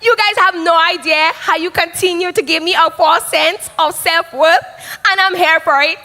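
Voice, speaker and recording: A woman's voice: very high voice, fairly even intonation, normal speed, pushed and bright. A woman playing a vain female influencer in a comedic livestream parody, melodramatic and fake-crying. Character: vain self-absorbed female social media influencer. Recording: long reverb.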